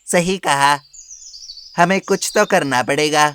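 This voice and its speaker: A clever, bold monkey from an animal storybook tale, played by a man. The voice sits a little high and comes through clean and crisp. It urges the others on. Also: slow pace, bright, forceful tone. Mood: urgent. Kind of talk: animated animal fable dialogue.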